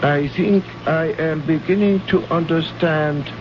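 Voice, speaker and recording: An actor playing a courteous, guarded alien native in an old radio drama, speaking in formal, precise phrases without contractions. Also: male voice, a little high, measured pace, close to an everyday voice. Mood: guarded, wary. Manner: formal, precise, uncontracted speech. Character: courteous, guarded male alien native, innocent yet shrewd. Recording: noisy, muffled old radio recording.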